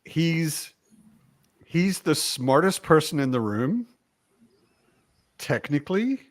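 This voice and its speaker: A man's voice: on the high side, big pitch swings, slow pace, dry recording. Man chatting casually, dry close sound. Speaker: book discussion panelist. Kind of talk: casual chat.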